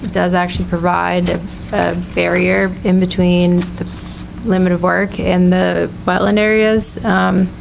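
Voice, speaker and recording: A woman reports on a site visit to a town commission. Her voice is low, flat and gravelly, and she pauses often with um. The recording is noisy meeting-room audio. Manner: careful, many ums. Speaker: conservation agent.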